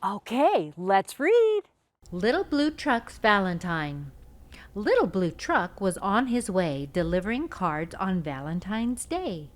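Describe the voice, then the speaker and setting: A woman hosting a read-aloud for young children, telling a picture book in an expressive, simple storytelling style. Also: medium pitch, slow.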